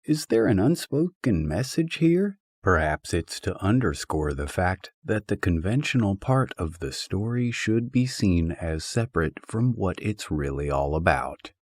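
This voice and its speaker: Audiobook narrator: man with a fairly deep, very varied delivery, wry and expressive on humorous philosophical prose. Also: unhurried, hardly any pauses, no room sound, clean studio sound.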